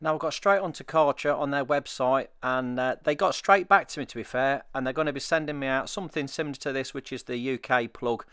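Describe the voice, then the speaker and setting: A man's voice: mid-range voice, brisk, hardly any pauses, a bit bright, clear, resonant tone, no room sound. Male, easygoing review voice-over, dead-dry close recording. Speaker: product reviewer. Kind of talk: voice-over narration.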